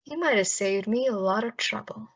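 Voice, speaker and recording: A woman's voice: medium pitch, moderate pace, natural voice. A woman playing a suave, menacing male crime boss with a formal, sardonic manner in an old-time radio drama. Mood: smooth menace. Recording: dull, muffled lo-fi audio.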